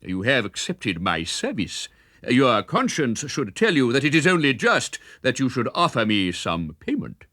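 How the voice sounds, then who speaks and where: A man's voice: mid-range voice, huge pitch swings, a little slow, a bit bright, no echo, clean studio sound, heavily acted. A man voicing a huge, blustering friar in a children's storybook, pompous and good-humoured, puffing between phrases. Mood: pompous, insistent. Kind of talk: children's storybook character reading.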